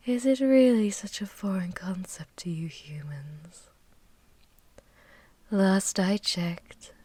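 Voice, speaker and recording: Woman acting whispering, slow-spoken seductive vampire warlord. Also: lowish voice, darkish tone, dry recording. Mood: coaxing, amused. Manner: intimate, slow, languid, teasing, mostly whispered. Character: seductive, possessive, menacing female vampire warlord. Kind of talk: whispered ASMR fantasy roleplay.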